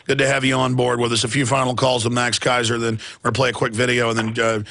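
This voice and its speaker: A man's voice: mid-range voice, level tone, fast talker, fairly bright tone, dry recording. A man hosting a talk radio show, sarcastic and combative, taking calls.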